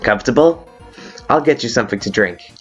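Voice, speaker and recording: A man's voice: medium pitch, lively intonation, fairly quick, husky. A man voicing a fierce, brash female fish-warrior monster in a video game fan dub, blustering and sarcastic. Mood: strained hospitality. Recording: muffled lo-fi audio with background music.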